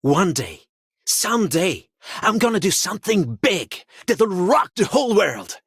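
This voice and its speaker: A woman voicing a scrappy, casual young dreamer in a game, with a husky voice and loose boastful delivery. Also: mid-range voice, very animated, a little slow, dry recording, clean studio sound, exaggerated voice. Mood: cocky, sheepish. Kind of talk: game character acting.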